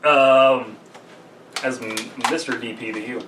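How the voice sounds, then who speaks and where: The recording sits a little off the mic with faint noise behind it. A man with an average-pitched voice co-hosts a podcast, bantering casually. His talk is jokey and peppered with swearing. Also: steady tone, measured pace, distant mic. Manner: profane, jokey. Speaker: podcast co-host.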